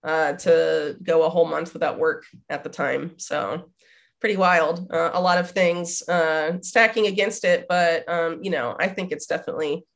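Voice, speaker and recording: A woman's voice: low-pitched, fairly even intonation, normal speed, gravelly. A woman in her thirties, slightly nasal from a cold, talking as a guest in a rambling way with lots of fillers. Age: 30s. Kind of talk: casual conversation.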